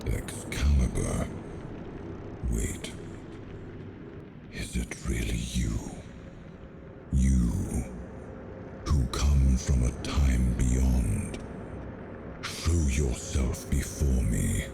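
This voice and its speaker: An immensely deep, ancient lord of the seas for a fantasy video game. He is grave and wise, drawing out portentous words in a gravelly creak, over loud music. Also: drawn-out words, pauses often, exaggerated voice. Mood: astonished. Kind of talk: dramatic video game acting.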